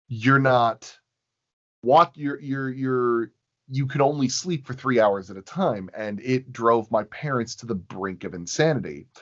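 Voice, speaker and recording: A man telling stories casually in a conversation, with a reflective, halting way of phrasing things. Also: fairly low pitch, muffled recording. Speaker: podcast guest.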